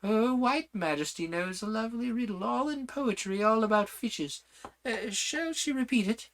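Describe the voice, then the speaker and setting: A man's voice: moderate pace, fairly bright tone. A man voicing an imperious, bossy queen who shrieks her orders in a storybook reading. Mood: solemn. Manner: shrill, commanding, screaming orders.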